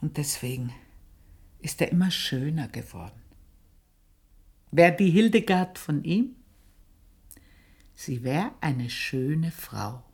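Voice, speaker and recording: Low, slow, proud old housemaid. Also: female voice, animated, a little mellow, reverberant, full character voice. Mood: brooding pride. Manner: confiding, unhurried storytelling with pointed pauses. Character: earthy, proud aging housemaid reminiscing about past desires. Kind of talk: dramatic monologue in a German radio play.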